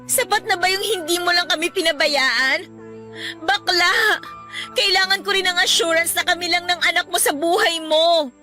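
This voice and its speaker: Very high, bright, emotional Filipina young mother. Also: moderate pace, faint music behind. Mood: tearful pleading. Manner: emotional, confrontational, pleading. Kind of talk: Filipino radio drama acting.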